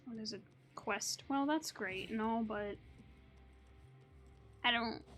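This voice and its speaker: High-voiced woman casually narrating her gameplay. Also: takes it slowly, a bit bright. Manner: chatty, playful asides. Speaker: gaming streamer. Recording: game music behind.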